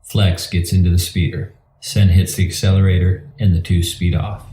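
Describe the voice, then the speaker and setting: A man's voice: sits low, little pitch movement, normal speed, mellow. A man reading scripted scene directions as the narrator of an audio drama, with a roomy echo.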